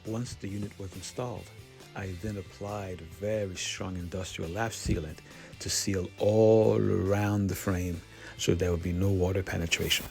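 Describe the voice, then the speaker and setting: Male DIY vlog narrator, gravelly low register, recounting installation steps. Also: fairly even intonation, moderate pace. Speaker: DIY van build vlogger. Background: background music.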